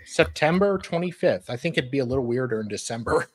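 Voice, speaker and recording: A woman's voice: extremely low pitch, lively intonation, fast, darkish tone. A woman co-hosting with casual, sarcastic comic banter and dramatic reading. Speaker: co-host.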